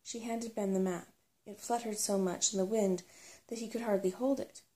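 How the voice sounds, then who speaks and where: A woman's voice: medium pitch, steady tone, normal speed, dark tone. A woman reading a children's fantasy novel aloud as ASMR storybook narration. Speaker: storybook reader.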